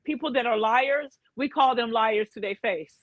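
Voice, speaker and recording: A woman in her fifties co-hosting a casual chat, animated and sassy, laughing often. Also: a little high, normal speed, no room sound, lo-fi sound. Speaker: co-host, actor.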